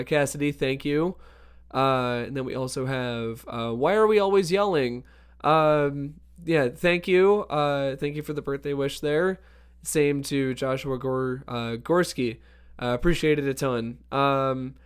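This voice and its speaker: A man hosting a casual livestream, his voice hoarse from allergies yet still smooth and resonant underneath. He sits a little higher in pitch than most men and keeps an upbeat tone while greeting viewers from the chat. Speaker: livestream host.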